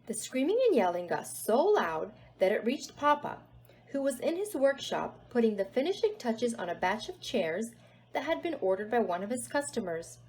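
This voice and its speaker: A woman's voice: fairly high pitch, very animated, normal speed, a little mellow, a little hiss or hum, poor audio. A woman narrating a children's storybook aloud expressively, with dramatic emphasis on key words. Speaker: storybook reader.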